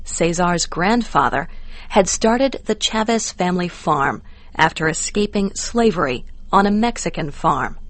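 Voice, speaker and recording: An American woman reading scripted educational narration for English learners with measured, careful enunciation. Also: on the low side, animated, no room sound.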